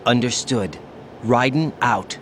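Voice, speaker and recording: A man's voice: mid-range voice, slow pace, background noise, natural voice. A man playing an earnest, uneasy young special operative in a dramatic video game, always questioning. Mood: troubled, questioning.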